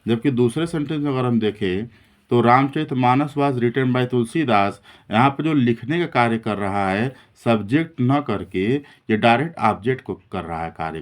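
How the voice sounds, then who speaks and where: A man explaining a lesson as a teacher, in a didactic way that repeats and rephrases key points. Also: mid-range voice. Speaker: English grammar teacher. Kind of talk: classroom-style lesson explanation in Hindi mixed with English terms.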